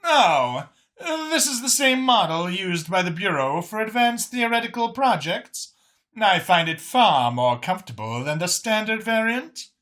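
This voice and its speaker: A man voicing a self-assured, cerebral and gracious female alien leader in an audiobook, articulate and measured. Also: high-pitched, big pitch swings, sharp tone, voice pushed up, exaggerated voice. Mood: matter-of-fact. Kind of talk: audiobook character acting.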